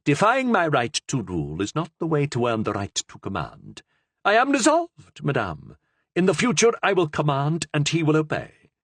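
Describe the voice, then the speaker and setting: A proud king speaks curtly and firmly, asserting command in measured, formal words, as a male narrator acts him out. The delivery rises and falls a lot and has a husky grain, close and dry. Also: mid-range voice, big pitch swings, no echo, voice pushed up, full character voice. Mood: stern. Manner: curt, authoritative. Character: stern, proud young king, curt and commanding.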